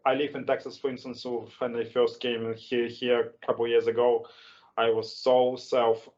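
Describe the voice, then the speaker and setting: A man with a strong Russian accent, speaking English as a second language and hosting an online speaking club, halting with many restarts and fillers. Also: medium pitch, a little mellow. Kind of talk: casual online English lesson chat. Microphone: video call. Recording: lo-fi video call audio.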